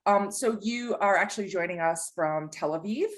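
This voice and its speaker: A woman's voice: medium pitch, moderate pace. A woman hosting an interview podcast, encouraging her guest, with many fillers and restarts. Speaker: podcast host.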